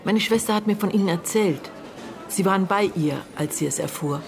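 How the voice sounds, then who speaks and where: A woman playing a reserved middle-aged sister who speaks in a measured, reflective way in a radio drama. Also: mid-range voice, gravelly, over music, close to an everyday voice. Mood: guarded. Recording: faint busy restaurant chatter behind. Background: busy restaurant.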